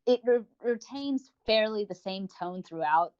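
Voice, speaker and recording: A woman's voice: mid-range voice, animated, unhurried, darkish tone, clean tone, dull audio. A woman co-hosting a podcast, chatting casually and effusively as she reviews audio dramas. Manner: effusive, informal. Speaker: podcast co-host.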